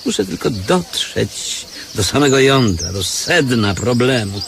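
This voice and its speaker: An actor playing a fallen professor turned beggar posing as blind, philosophizing in a lofty, wordy way in a radio drama. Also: male voice, medium pitch, big pitch swings, measured pace, vocal fry, noisy background. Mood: musing.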